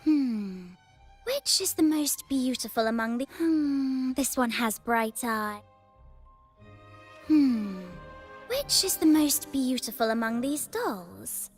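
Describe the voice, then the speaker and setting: A woman's voice: high voice, expressive, slow pace. An actress playing a vain, theatrical young woman who savors her words in a video game. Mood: musing, picky. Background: game music.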